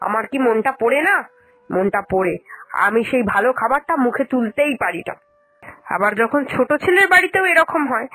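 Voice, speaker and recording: A woman's voice: fairly high pitch, a bit bright, rough recording. A woman voicing an aggrieved elderly mother-in-law in a cartoon, speaking in a plaintive, lamenting way. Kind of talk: Bengali cartoon dialogue acting.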